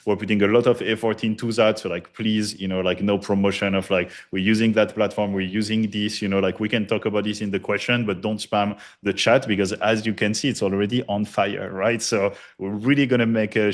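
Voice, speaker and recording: A middle-aged man with a French accent gives a loose, energetic live presentation, full of fillers and casual cursing. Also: on the low side, brisk, hardly any pauses. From France. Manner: loose, hype-driven, heavy filler words and cursing. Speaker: conference presenter, studio founder.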